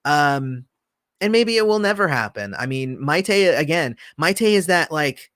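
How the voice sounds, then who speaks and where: A man hosting a casual livestream, answering viewer comments in a relaxed, rambling way with lots of fillers, often trailing off softly. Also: on the high side, unhurried, dry recording, clean studio sound. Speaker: channel host.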